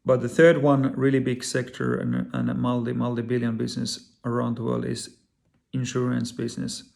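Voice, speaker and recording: A man with a Finnish accent, his voice deeply gravelly and crackling at mid pitch. He speaks English as a second language, earnest and halting, often pausing on fillers and repeating words as he addresses his viewers directly. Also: level tone, darkish tone. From Finland. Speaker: vlogger. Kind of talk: personal direct-to-camera talk.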